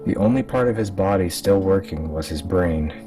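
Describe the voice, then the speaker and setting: Man, deep voice, scripted horror narration, distant mic, music underneath. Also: normal speed.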